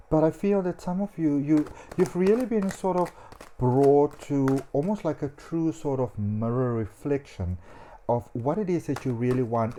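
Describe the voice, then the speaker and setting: Chatty guy doing a tarot reading, mellow warm tone, lots of ums and you knows, faint hiss. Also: mid-range voice. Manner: chatty and animated, with frequent "um" and "you know". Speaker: psychic tarot reader.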